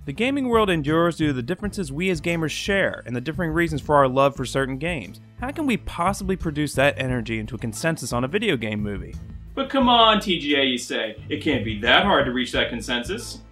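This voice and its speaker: A man's voice: a little high, sing-song, a little fast, a bit bright, soft background music. A man in his thirties hosting a scripted video essay as a critic, sardonic and emphatic in his opinions. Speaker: video game movie critic, show host. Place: small furnished bedroom, indoors.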